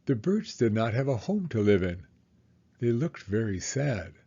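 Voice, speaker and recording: A man reading a storybook aloud to young children in a deliberate, expressive storytelling way. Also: mid-range voice, breathy edge, highs cut off.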